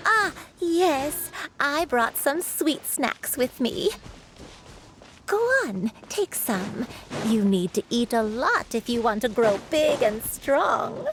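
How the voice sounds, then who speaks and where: A woman voicing a kindly, forgetful old granny in a video game, gentle and doting. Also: high voice, heavily acted. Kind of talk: video game character dialogue.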